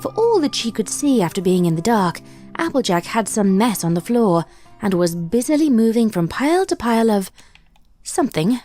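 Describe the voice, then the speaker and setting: A woman reading a horror fan story aloud in steady scripted narration. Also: fairly high pitch, normal speed, soft background music.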